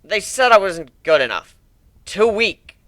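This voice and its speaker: A young pony guard, acted out by a man, pitched high and pushed bright. He is boastful and hot-tempered yet easily flustered, a whiny recruit in a fantasy story reading. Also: sing-song, a little slow, no echo, full character voice. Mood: flustered, earnest. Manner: indignant, stammering under pressure.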